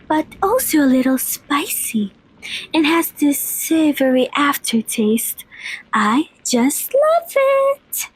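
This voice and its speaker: Casting: woman as girlish vampire mistress for ASMR roleplay, very high voice, leisurely delivery, playful and sweet. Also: slight background noise, low-quality recording. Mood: gleeful, hungry. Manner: bubbly, informal, giggly.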